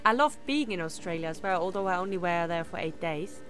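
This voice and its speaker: A woman's voice: on the low side, animated, a little fast. A young German-born woman with a British-tinged English accent, chatting casually with viewers while streaming a game from home. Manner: chatty, reads viewer comments aloud. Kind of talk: casual live-stream chat while gaming. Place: room at home, indoors. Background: game music and sound effects.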